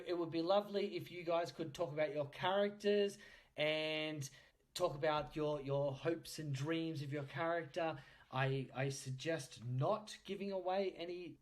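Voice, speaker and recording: A man hosting a podcast, interviewing in a casual, jokey, bantering way with a readiness to chuckle. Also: high voice. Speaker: podcast host.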